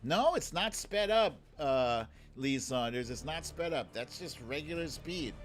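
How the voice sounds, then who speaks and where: A man's voice: a little high, lively intonation, a little slow, faint music behind. A man hosting a live stream in casual, playful commentary, chatting to viewers in slangy phrases that he often repeats.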